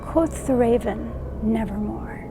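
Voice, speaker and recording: A woman with a fairly high voice recites poetry slowly, with music clearly playing behind her.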